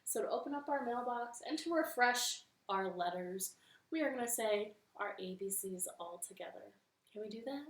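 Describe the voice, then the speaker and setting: A woman's voice: medium pitch, expressive, moderate pace, roomy sound, poor audio. A woman hosting a library storytime, reading to children in a cheerful, sing-song way from her living room.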